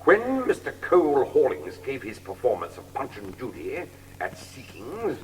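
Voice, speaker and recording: A man playing a pompous, sinister gentleman gang leader in an audiobook drama, unctuous and theatrical, in a reverberant hall. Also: medium pitch, wildly swooping pitch, normal speed, a little hiss or hum. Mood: pompous chiding. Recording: reverberant hall, distant from mic.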